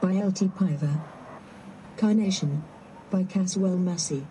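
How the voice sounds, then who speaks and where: A woman's voice: on the low side, measured pace, warm tone, a little hiss or hum, rough recording. A woman reading out a list of names, item by item.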